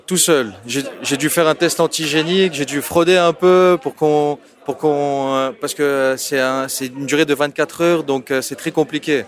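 A man's voice: a little high, fairly even intonation, moderate pace, few pauses. Enthusiastic man from Lebanon. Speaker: festival attendee.

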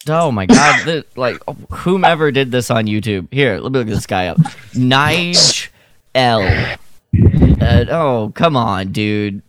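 A man chatting casually on a fan podcast, rambling through his thoughts in an animated way. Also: mid-range voice, slow pace, dry recording. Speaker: podcast panelist. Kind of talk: casual film-fan chat.

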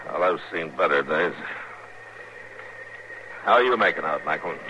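An actor playing a stern, steady middle-aged marshal of the Old West in a 1950s radio western, American, laconic and matter-of-fact. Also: male voice, deep voice, unhurried. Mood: calm, firm. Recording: muffled, noisy 1950s radio recording.

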